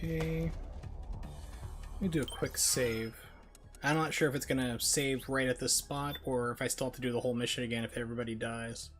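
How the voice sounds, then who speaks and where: A man with an average-pitched voice streams a video game and talks along informally. He rambles through his opinions as if chatting with viewers. Faint game music plays underneath. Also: fairly even intonation, a little fast, a bit bright. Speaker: game livestreamer. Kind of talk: casual live gameplay commentary, chatting with viewers.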